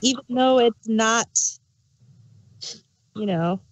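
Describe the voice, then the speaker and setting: A woman's voice: fairly high pitch, slow pace. A woman chatting casually as a panelist on a review podcast. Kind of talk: casual chat.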